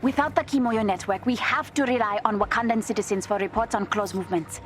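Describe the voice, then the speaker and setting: An actress playing a clever, headstrong young princess with an African accent, brisk and confident, in a video game. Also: female voice, mid-range voice, soft background music, lightly acted.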